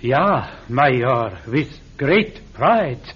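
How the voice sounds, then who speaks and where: An actor playing a hesitant, frail civilian with weak lungs who coughs, in a vintage radio drama. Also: male voice, mid-range voice, big pitch swings, drawn-out words, husky, dull audio, full character voice. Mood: flustered. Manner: hesitant, deferential. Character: nervous frail civilian trained as a spy. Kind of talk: 1940s radio acting.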